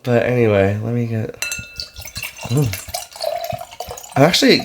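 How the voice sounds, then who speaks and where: A man's voice: medium pitch, animated, a little slow, a little hiss or hum. A man co-hosting a podcast in casual chat. He is getting over a cold, so he sounds congested, and he is easygoing, laughs often and trails off mid-sentence. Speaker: podcast co-host.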